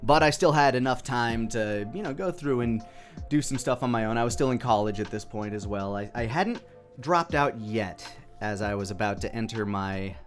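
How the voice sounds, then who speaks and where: Faint music plays behind a man with a mid-pitched voice. He talks casually and off the cuff, like a creator commenting on his own work, rambling and questioning himself as he thinks aloud. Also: normal speed.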